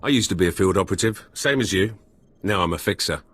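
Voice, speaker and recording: An actor playing a gruff, blunt middle-aged veteran spy in a video game, with a husky voice and terse delivery. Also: male voice, low voice, animated, fast, fairly bright tone, exaggerated voice. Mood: gruff, stern. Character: gruff hard-line veteran male spy turned fixer.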